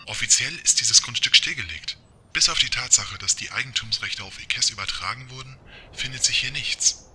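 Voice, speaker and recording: A man's voice: mid-range voice, normal speed, sharp tone. A man playing a polite, literal-minded talking car computer in an amateur radio play, formal and precise. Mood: alert, factual.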